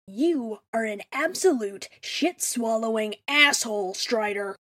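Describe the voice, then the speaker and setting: A woman playing a grumpy, soft-hearted young man with a gravelly edge in a fan fiction audio drama. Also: on the high side, expressive, unhurried. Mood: irritated.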